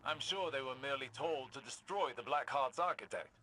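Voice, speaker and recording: A man acting a learned, composed older mentor in a video game, explaining things in a measured, formal manner. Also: medium pitch, lively intonation, over music, natural voice, low-quality recording. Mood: composed, instructive.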